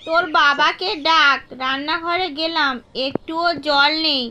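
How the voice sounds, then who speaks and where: A woman's voice: high-pitched, steady tone, a little slow, pushed and bright, slight background noise. A woman voicing a strict, protective village mother in a cartoon, giving firm instructions and cautions. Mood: bossy. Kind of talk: cartoon horror story dialogue.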